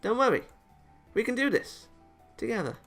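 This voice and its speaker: Man putting on an upbeat high-pitched anime girl. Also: big pitch swings, normal speed, fairly bright tone, faint music behind, heavily acted. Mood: encouraging. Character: optimistic, teasing young anime girl, supportive companion.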